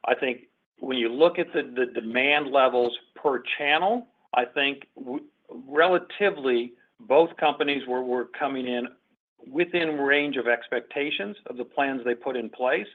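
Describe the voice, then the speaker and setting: Business exec guy on an earnings call, mid voice, keeps restarting phrases, thin phone-like audio. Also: mid-range voice. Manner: businesslike, halting restarts with repeated words. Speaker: company executive. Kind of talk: unscripted answers on an earnings call. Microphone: conference call line. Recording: muffled, band-limited conference call audio.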